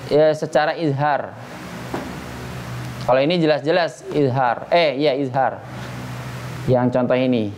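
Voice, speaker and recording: Slow-paced man teaching Quran recitation. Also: mid-range voice, a little mellow, a little hiss or hum. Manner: informal, patient explaining with check-in questions. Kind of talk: interactive tajwid lesson with recited examples. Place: small study gathering in a private home.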